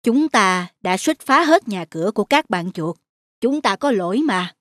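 A woman voicing a calm, kindly grown elephant in a cartoon, gentle and courteous. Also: mid-range voice, moderate pace, natural voice. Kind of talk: cartoon dialogue acting.

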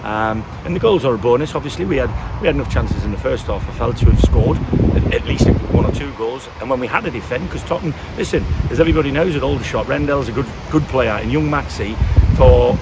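A man in his fifties, a football manager, talking frankly after a match, often restarting his sentences as he thinks aloud, recorded outdoors beside a pitch. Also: expressive, quick pace, hardly any pauses. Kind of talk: post-match interview. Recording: loud wind and outdoor noise.

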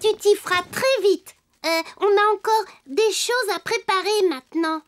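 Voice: very high voice, normal speed. An actor voicing a cheerful, kind little boy in a French preschool cartoon, explaining things with enthusiasm. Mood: reassuring. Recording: music under the voice.

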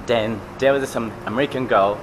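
A man in his forties with a Japanese accent, hosting a vlog in casual, halting English from a busy station concourse, camera at arm's length. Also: mid-range voice, fairly even intonation, background noise. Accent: Japanese, with some Australian. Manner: halting non-native English with fillers. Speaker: vlog host. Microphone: camera held at arm's length. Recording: music playing under the voice.

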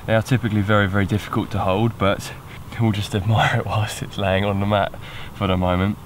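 A man's voice: lowish voice, little pitch movement, brisk. A young British man talking casually as an angler in an outdoor fishing vlog. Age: young adult. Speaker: angler presenting a fishing video. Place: outdoors at a lakeside at night. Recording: clear background noise outdoors.

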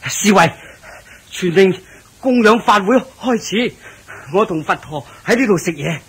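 A man's voice: high-pitched, expressive, measured pace, fairly bright tone, husky, full character voice. An actor playing a very fat, flustered but good-natured king in a radio drama, huffing, sighing and stammering as he talks. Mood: admiring, jovial. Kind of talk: Cantonese radio drama acting. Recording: noisy radio drama recording.

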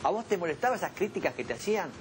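A man's voice: high voice, sing-song, racing, a little hiss or hum. A man co-hosting a TV show, asking questions and agreeing often. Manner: agreeable, backchanneling. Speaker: TV co-host. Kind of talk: casual chat.

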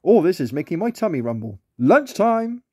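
A man's voice: high voice, sing-song, normal speed, darkish tone, slightly rough, no echo, studio quality, pitched above the performer's own voice, heavily acted. A man voicing a small, friendly, playful male sea otter in a children's storybook reading. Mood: cheerful.

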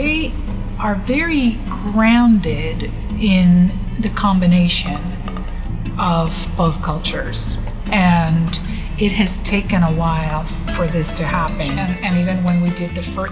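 A middle-aged woman from Puerto Rico, a writer, speaking in an interview in an office, with lively, expressive storytelling. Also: on the low side. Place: indoor office. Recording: music playing underneath.

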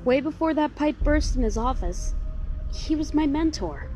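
A caring young sister acted by a woman speaks with weary, measured drama in a comic dub. Her voice is fairly high, with clear music underneath. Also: lively intonation. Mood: somber. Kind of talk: amateur comic dub acting.

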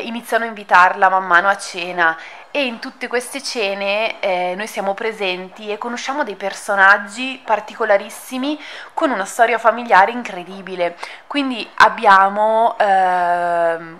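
A woman's voice: medium pitch, a bit bright. A woman giving an informal spoken book review, hesitant and thinking aloud as she goes. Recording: audible background noise.

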